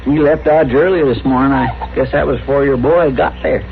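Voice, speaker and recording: An actor playing a good-natured cowboy deputy sidekick in a radio Western, with a rural Western American drawl and a folksy, rambling, deferential way of talking. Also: male voice, mid-range voice, steady tone, brisk, close to an everyday voice. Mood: polite, chatty. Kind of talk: 1960s radio Western acting. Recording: dull, very noisy vintage radio recording.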